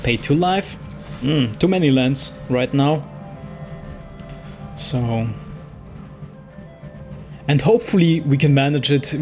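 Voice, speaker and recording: Man talking through gameplay over clear music. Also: mid-range voice. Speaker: host playing and commenting on a digital card game. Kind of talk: casual gameplay commentary, thinking aloud.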